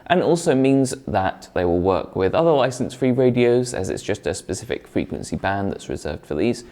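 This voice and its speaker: A man's voice: lowish voice, lively intonation, brisk, mellow. A man presenting a product review in a conversational, explanatory way, partly scripted.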